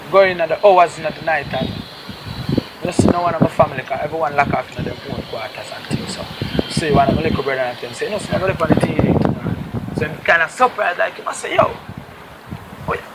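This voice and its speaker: A young man with a Jamaican accent spins a long, rambling story in patois, his voice sitting a little high. He is recorded outdoors on a rooftop. Loud wind and passing vehicles run under every word. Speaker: reggae singer, guest. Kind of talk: casual interview storytelling. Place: rooftop outdoors overlooking a city. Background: wind and traffic.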